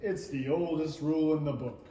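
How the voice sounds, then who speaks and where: A man's voice: on the high side, fairly even intonation, normal speed, warm tone, natural voice. A young man playing a muddled, self-satisfied king in a 1930s-style radio play, hemming and musing pompously. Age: young adult. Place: indoor stage in a small theatre. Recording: echoing stage pickup, faint noise.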